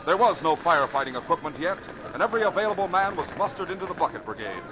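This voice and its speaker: A man narrating an old-time radio adventure in a dramatic, measured storytelling style. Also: on the high side, very animated. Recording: dull, noisy vintage radio recording.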